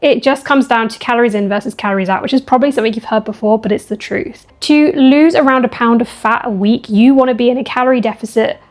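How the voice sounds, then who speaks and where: Gal vlogging about fitness, bit croaky and husky, chatty, goes off on tangents. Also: female voice, on the high side, quick pace, few pauses. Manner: chatty and upbeat, rambling with asides. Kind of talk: casual talking-to-camera vlog.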